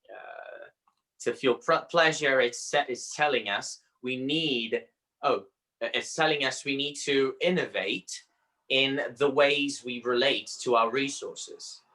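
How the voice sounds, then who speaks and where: Brazilian-accented man, Portuguese native, bright forceful tone, halting delivery, guest expert. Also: medium pitch, low-quality recording. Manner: halting, searching for words with frequent pauses. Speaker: astrologer guest. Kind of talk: conversational interview.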